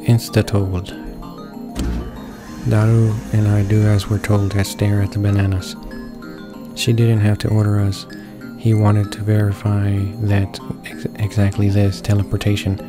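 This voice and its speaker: A low-voiced man reads a visual novel aloud in a very monotone way. He halts and stumbles over words while game music plays behind him. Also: measured pace. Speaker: gamer reading along.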